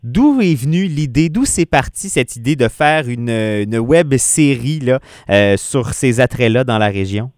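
A man hosting a radio interview, asking questions in a relaxed, chatty way. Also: medium pitch, measured pace, no echo, studio quality. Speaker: radio host.

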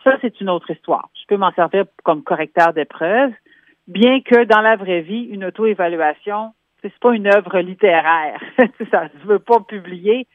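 A Québécois woman talks on a radio segment about work, walking through her points with practical examples. Her voice comes down a tinny phone line with a narrow, dry sound. She sits at a middling pitch. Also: a little slow, no echo. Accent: Québécois French. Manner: explanatory, gives concrete examples. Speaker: workplace columnist calling in. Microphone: telephone.

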